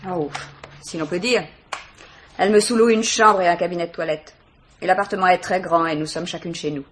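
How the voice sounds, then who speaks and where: An actress playing a guarded, disdainful young student, curt and defensive, in a 1950s French radio mystery. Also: female voice, fairly low pitch, steady tone, a little fast, fairly bright tone, a little hiss or hum, natural voice. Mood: cool, distant.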